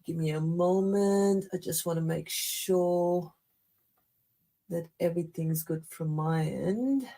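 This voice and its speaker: A woman with a croaky voice after the flu, chatting through a live card-making tutorial with many fillers and apologies. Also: on the low side, slow, dark tone. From Australia. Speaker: craft demonstrator.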